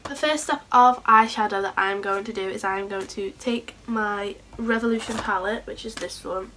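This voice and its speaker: A woman with a sore throat and a hoarse voice, chatting casually and a bit scatterbrained through a makeup tutorial. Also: medium pitch, moderate pace, fairly bright tone. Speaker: beauty vlogger.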